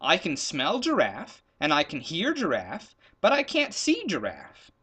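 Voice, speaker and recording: A man acts out a pompous grown-up hunter from a children's tale. He is wordy and formal, with a bright tone and very animated intonation. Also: a little high, a little slow, breathy edge, full character voice. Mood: puzzled. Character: grown-up male hunter who uses long pompous words.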